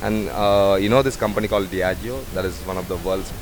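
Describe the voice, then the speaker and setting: A man's voice: deep voice, fairly quick. A man, a head bartender talking in a rambling way with many fillers. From Sri Lanka. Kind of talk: casual interview. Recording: noisy bar ambience.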